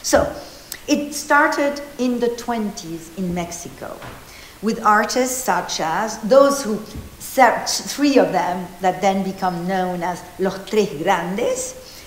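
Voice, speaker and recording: A woman in her fifties from Argentina gives an informal art history talk, animated and gesturing, with many restarts and fillers, recorded by a distant camera in an old meeting house. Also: medium pitch, takes it slowly, a little hiss or hum. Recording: roomy hall echo.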